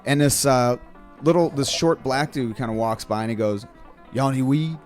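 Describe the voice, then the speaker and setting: A man's voice: medium pitch, moderate pace, a little mellow, soft background music. A man co-hosting a live stream, telling stories in a casual, slangy, profane way. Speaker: co-host of a live stream show. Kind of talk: casual banter and storytelling.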